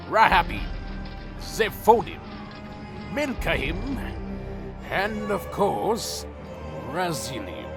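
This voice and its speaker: A man playing a proud, bitter ancient vampire clan leader in a dark fantasy audio drama, brooding, theatrical and full of contempt. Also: high voice, gravelly, exaggerated voice. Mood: imperious. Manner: slow, theatrical, brooding and menacing. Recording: faint music under the voice.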